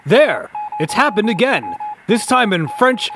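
Man acting scientist superhero leader, high voice, declamatory, animated, commanding, radio play. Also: measured pace, background noise, exaggerated voice. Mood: earnest, commanding. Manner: speechifying, declamatory. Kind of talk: hobby comic-book radio play acting.

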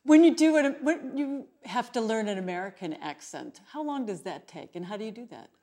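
A woman interviewer asks short, direct questions in a mellow, warm voice. Her pitch rises and falls a lot as she speaks. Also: expressive, a little fast.

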